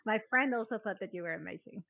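A woman's voice: medium pitch, fast talker, creaky, sharp tone, no echo. A woman hosting a podcast in a casual, chatty way. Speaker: podcast host. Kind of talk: casual chat.

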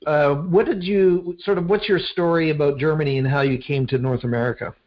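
A man's voice: fairly high pitch, fairly even intonation, fairly quick, dull audio. A man hosting a podcast interview, rambling with frequent fillers and restarts. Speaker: podcast host.

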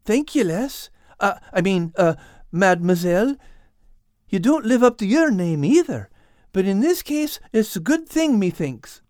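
Bashful tomcat, high-pitched. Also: male voice, sing-song, measured pace, a little mellow, no room sound, clean studio sound. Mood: bashful, grateful. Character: humble, bashful, good-hearted male cat.

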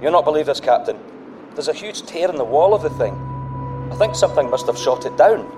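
An actor playing a plucky, excitable male astronaut in a sci-fi audio drama, breezy and cocky between clipped technical reports. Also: fairly high pitch, brisk, echoey room, noisy background. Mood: awed, excited. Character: plucky, excitable male astronaut piloting a deep-sea microsub.

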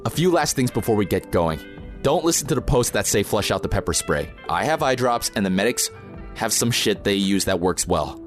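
A man's voice: lowish voice, expressive, fairly quick, soft background music, natural voice. A man playing an experienced, protective protest organizer in an audio drama, plain-spoken, informal and matter-of-fact. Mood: practical, cautionary. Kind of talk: naturalistic audio drama acting.

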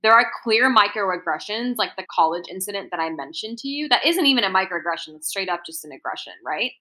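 Bright-voiced woman with an American accent. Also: mid-range voice, animated, fast. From Singapore. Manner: articulate, earnest, lots of "right?" and "um". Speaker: interviewee. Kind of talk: reflective personal storytelling.

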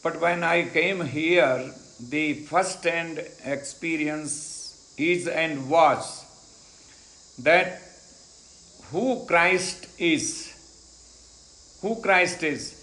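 Indian guy, hindi first language, talks really slow w/ lots of uh pauses, bit high voice, roomy echo. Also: a bit bright. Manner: slow and deliberate, many "uh" pauses, repeats phrases. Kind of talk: unhurried personal testimony. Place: ashram in India.

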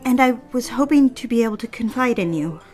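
Girl playing young engaged career woman in a radio play, highish voice, anxious but quietly defiant, faint music. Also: lively intonation, a little fast, close to an everyday voice. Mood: earnest, anxious. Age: young adult. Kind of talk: scripted audio drama acting.

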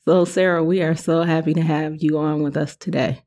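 A woman's voice: sits low, steady tone, normal speed, warm tone. A woman co-hosting a podcast, introducing and welcoming a guest in a friendly way. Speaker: podcast co-host. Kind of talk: podcast interview.

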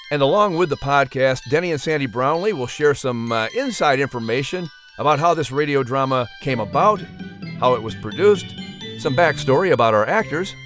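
A man co-hosting a podcast in a playful, bantering way. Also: on the high side. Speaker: podcast co-host. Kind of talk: scripted introduction and casual joking chat.

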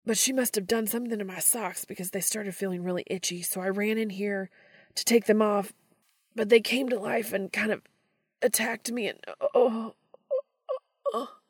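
Woman playing a flustered, whining young man, raised pitch. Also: steady tone, moderate pace, no echo, studio quality, lightly acted. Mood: embarrassed, whiny. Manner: breathy, strained, protesting.

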